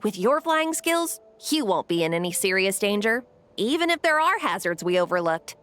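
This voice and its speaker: An actress playing an earnest, cautious young woman who organizes an arena in a video game, expressive and friendly. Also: medium pitch, moderate pace. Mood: hesitant. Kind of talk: video game dialogue acting.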